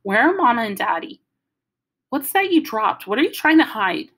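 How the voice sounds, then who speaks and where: A woman voicing a bossy, panicky little girl who whines and scolds in a high voice. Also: sing-song, normal speed, fairly bright tone, heavily acted. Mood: whiny scolding. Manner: shrill, whiny and scolding.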